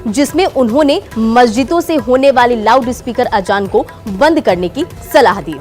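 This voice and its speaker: A woman news anchor reading scripted explainer segments in a presenter's register. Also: on the high side. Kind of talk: scripted news narration with live anchor hand-off.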